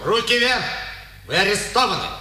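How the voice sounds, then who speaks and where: A man's voice: high voice, expressive, normal speed, bright, forceful tone, full character voice. A man acting an aggressive, overzealous young policeman in a 1950s radio drama, barking threatening commands. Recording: roomy, reverberant old radio recording.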